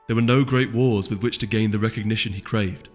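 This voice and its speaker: A man's voice: lowish voice, fairly even intonation, brisk, in a large hall, soft background music. A man reading a fantasy story aloud as scripted narration. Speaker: audiobook reader.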